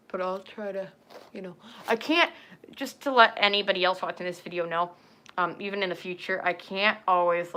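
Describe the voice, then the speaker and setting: A woman's voice: medium pitch, bright, forceful tone. A young woman chatting casually on a livestream, rambling with lots of fillers and talking straight to her viewers. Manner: rambling, filler-heavy, talks to chat. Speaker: furry livestreamer.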